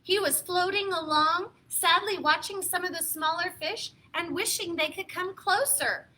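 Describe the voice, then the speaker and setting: Female children's storyteller, very high voice, slow expressive pacing, playful read-aloud delivery. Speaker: children's storytime host and reader.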